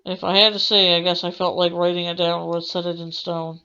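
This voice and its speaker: A woman's voice: lowish voice, flat delivery, fairly quick, sharp tone, clear, resonant tone, lo-fi sound. A woman hosting a gaming let's play, reading aloud in a dramatic manner with the odd stumble. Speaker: gaming channel host.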